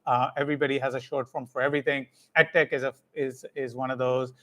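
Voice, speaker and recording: A man's voice: medium pitch, level tone, fast talker, bright, forceful tone. A middle-aged man, a company director, giving a live talk to parents, switching between English and Hindi with many fillers and checking "right?". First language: English first, Hindi second. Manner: mixes English and Hindi, frequent fillers, tag questions. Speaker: ed tech company managing director. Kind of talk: live session talk to parents, answering questions.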